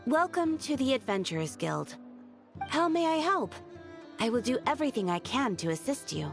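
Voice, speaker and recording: Helpful female receptionist, game cutscene, high voice, lively intonation, courteous, music behind. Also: moderate pace, vocal fry, full character voice. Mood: welcoming. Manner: courteous, service-minded. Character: kindly, courteous female guild receptionist, eager to help. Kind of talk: video game voice acting.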